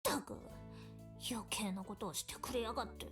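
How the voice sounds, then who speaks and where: A woman playing a rude, rebellious young mayor's daughter in an anime visual novel, talking in brash, rough slang. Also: a little high, quick pace, lightly acted. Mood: angry. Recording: game voice under loud music.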